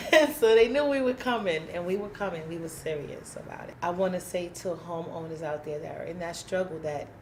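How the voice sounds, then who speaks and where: A woman's voice: on the low side, gravelly, a little hiss or hum. A woman, a community housing activist, telling her story candidly in an interview, emphatic and plain-spoken, acting out what people said.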